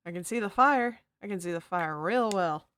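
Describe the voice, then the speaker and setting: A feminine-voiced streamer chatting casually while drawing, giggling often and cracking silly jokes. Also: female voice, fairly low pitch, animated, moderate pace, fairly bright tone. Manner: giggly, jokey, laughs often.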